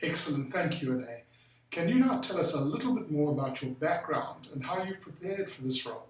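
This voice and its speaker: A man's voice: a little high, expressive, brisk. A man hosting a formal, courteous interview with a new colleague. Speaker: interviewer, head of the firm. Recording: very echoey room, far from the mic.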